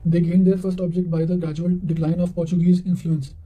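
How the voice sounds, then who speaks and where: Guy reading quotes for revision, kinda high voice but really mellow and flat, trips on words now and then. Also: low-quality recording. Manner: measured, stumbles over words and repeats them. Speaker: narrator of exam revision notes. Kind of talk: reading quotations aloud for study.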